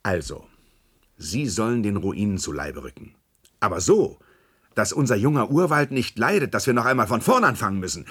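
Husky, boastful park director, swooping delivery. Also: male voice, on the low side, big pitch swings, normal speed, fairly bright tone, full character voice. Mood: matter-of-fact, exasperated. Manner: effusive, hesitating, emphatic repetition. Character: boastful, scheming male director of futuristic animal park. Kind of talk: German radio play acting.

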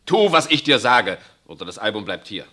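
A man's voice: on the low side, big pitch swings, moderate pace, bright, forceful tone, full character voice. An actor playing an irritable, pessimistic, bookish husband and father in a 1960s radio drama, gloomy and curt.

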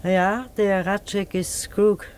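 A woman's voice: medium pitch, level tone, slow, darkish tone, clear, resonant tone, close to an everyday voice. A woman acting in a German radio play as a knowing older village aunt, folksy and full of insinuation. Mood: insinuating.

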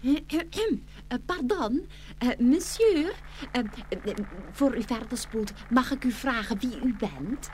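A woman's voice: a little high, measured pace. A woman playing a vain, easily offended darning needle in a children's fairy-tale radio play, with an affected, haughty, fussy manner. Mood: affected, posh. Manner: affected, haughty, fussy, full of oohs and ohs. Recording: hum and steady noise.

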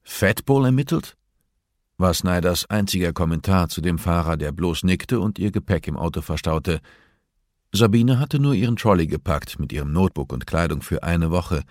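A man narrates a novel in a low-pitched voice. The recording is dry and close, with hardly any room sound around his scripted reading. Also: normal speed, a little mellow, no room sound. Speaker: audiobook narrator.

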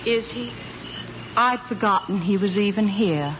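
An actress playing a sweet, teasing, romantic young wife in a 1940s radio comedy. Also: female voice, medium pitch, unhurried, natural voice. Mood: coy. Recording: muffled, noisy 1940s radio broadcast.

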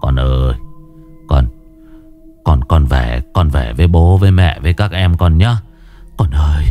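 A man voicing a desperate, grieving village father who stammers and pleads in a deep voice. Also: expressive, normal speed, mellow, faint music behind, natural voice.

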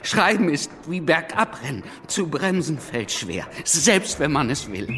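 Wortgewandter, lebhaft modulierender Revolutionsliterat. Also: male voice, a little high, big pitch swings, measured pace, a bit bright, in a large hall, slight background noise. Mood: impassioned. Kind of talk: German radio drama acting and narration.

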